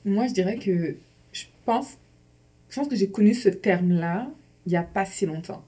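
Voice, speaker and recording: A woman's voice: medium pitch, moderate pace, warm tone, husky. A woman in her thirties from Guinea, a podcast guest who speaks frankly. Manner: frank and candid. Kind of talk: casual podcast chat.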